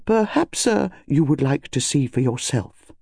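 A man's voice: mid-range voice, big pitch swings, a little slow, creaky, a little mellow, slightly rough, no echo, clean studio sound, full character voice. A man voicing an impressive, very formal and reproachful English butler in an audiobook story. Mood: discreet, formal.

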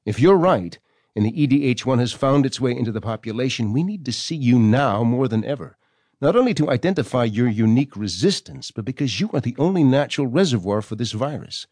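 An actor playing a stern, formal government doctor reading out his correspondence in a measured, condescending way for an audio drama. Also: mid-range voice, animated, darkish tone, no echo, studio quality. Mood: stern, reluctant.

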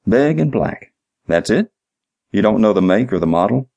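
A man's voice: on the low side, fast talker, vocal fry, dark tone, muffled recording. Man playing a sarcastic, exasperated police detective. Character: male police detective, weary, sarcastic, impatient with fools.

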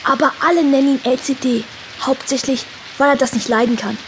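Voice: high voice, steady tone, a little fast, fairly bright tone, a little hiss or hum, poor audio. A performer playing an indignant, gossipy schoolchild in a children's audio drama, emphatic and scornful.

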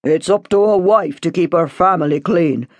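A woman voicing a curt, domineering middle-aged father with a sharp, bossy tone in an audiobook story. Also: on the low side, normal speed, no echo, close to an everyday voice. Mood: angry, scornful.

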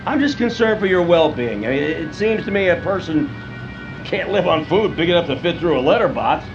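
A well-meaning, earnest grocer in a radio drama, with a fairly high man's voice. He chatters hesitantly and stumbles over his words. The sound is slightly dull, with music clearly behind him. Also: animated, brisk. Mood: hesitant, concerned. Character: friendly, bumbling male neighborhood grocer, concerned and earnest.

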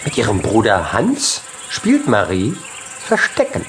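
Very animated, slow male storyteller. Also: fairly low pitch, slight background noise. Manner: expressive storytelling in short measured phrases. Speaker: audiobook narrator. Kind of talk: scripted children's story narration.

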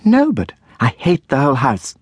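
A man's voice: lowish voice, a little fast, breathy edge, dry recording. A man voicing a cool, scornful young woman. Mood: furious. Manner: calm, cutting.

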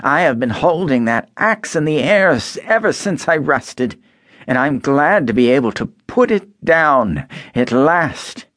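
A man voicing a polite, grateful tin woodman who pleads in a high, slow, drawn-out voice. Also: lively intonation, a bit bright, no room sound, studio quality. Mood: earnest.

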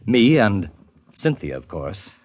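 A man's voice: fairly low pitch, very animated, slow, a little mellow, exaggerated voice. An actor playing a bitter, mocking male ghost writer in a 1950s radio drama, with a florid, sardonic delivery. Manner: florid, sardonic, prone to laughing fits.

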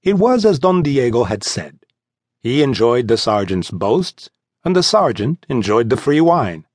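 A man's voice: medium pitch, darkish tone, no room sound, studio quality. A man narrating an audiobook as a scripted, dramatic story. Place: dry studio.

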